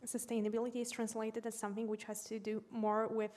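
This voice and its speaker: A woman whose first language is Polish, an educator commenting in a panel discussion in a measured, explanatory way with small restarts. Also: a little high, monotone, darkish tone.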